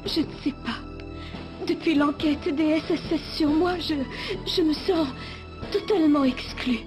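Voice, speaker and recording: A resourceful young heroine in a French cartoon dub. Her voice is high and earnest, with music playing behind it. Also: measured pace. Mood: tearful, hurt. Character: resourceful young woman feigning hurt before her boss. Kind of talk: French animated series dub acting.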